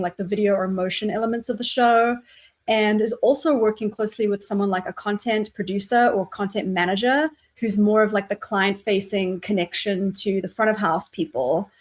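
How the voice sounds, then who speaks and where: A woman from South Africa with a South African accent, a podcast guest explaining her work in a casual, explanatory way with many fillers. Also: mid-range voice. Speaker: guest, graphics operator. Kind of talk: casual interview answers.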